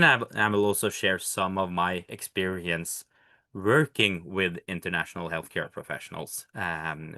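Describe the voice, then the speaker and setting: A man's voice: sits low, creaky, sharp tone, dry recording, studio quality. A Norwegian man with a Norwegian accent, explaining things casually and hesitantly, with many fillers and restarts. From Norway. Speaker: healthcare educator.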